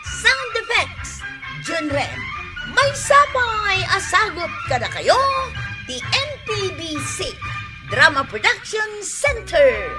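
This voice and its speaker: Very high-pitched, animated radio announcer. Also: takes it slowly, poor audio. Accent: Ilocano Filipino. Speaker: radio drama credits announcer. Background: music.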